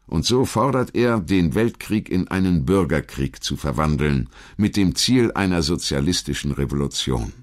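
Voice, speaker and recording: A man reading a scripted historical documentary narration in a measured, articulate way. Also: sits low.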